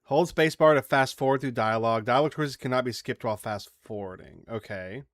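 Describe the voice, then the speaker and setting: A man hosting a gaming stream, chatting informally and haltingly as he comments and reads on-screen text aloud. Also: mid-range voice, no room sound, studio quality. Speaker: livestream gaming host.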